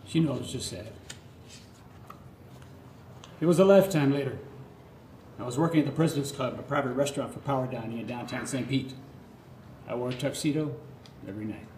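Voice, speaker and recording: A man's voice: mid-range voice, mellow. A middle-aged man performing a scripted autobiographical monologue live, theatrical and earnest, delivered phrase by phrase. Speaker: storyteller. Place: live radio theatre stage. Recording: roomy live theatre, clear background noise.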